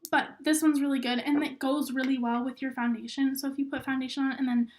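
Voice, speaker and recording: A young woman recovering from illness and a little hoarse, chatting casually through a makeup tutorial with lots of "um" and "like.". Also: high-pitched, flat delivery, fast. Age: young adult. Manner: chatty, filler-heavy, lots of "um" and "like". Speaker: rodeo queen giving makeup tips.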